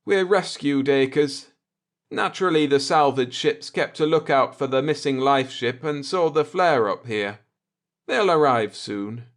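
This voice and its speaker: A man acts out a spaceship navigator from a pulp sci-fi audiobook. He is coolly vindictive and sardonic, speaking in a mid-range voice with deliberate, explanatory relish. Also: mid-range voice, expressive. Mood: matter-of-fact.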